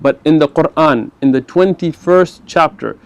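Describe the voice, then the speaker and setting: A man's voice: on the high side, moderate pace, no echo. A man giving an earnest religious lecture, asking rhetorical questions. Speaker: speaker in a played video clip.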